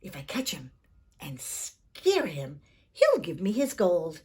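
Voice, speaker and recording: A woman's voice: on the low side, wildly swooping pitch, takes it slowly, a little mellow, breathy edge, pitched below the performer's own voice, exaggerated voice. A woman voicing a cocky, greedy young man in a children's storybook, bold and boastful in manner. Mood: excited, scheming.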